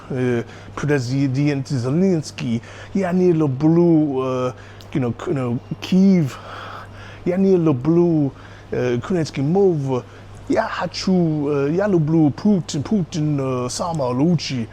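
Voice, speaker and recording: A man putting on a grumbling local man from eastern Ukraine, talking in a slow, loud, halting way with hesitation sounds. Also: a little high, slight background noise.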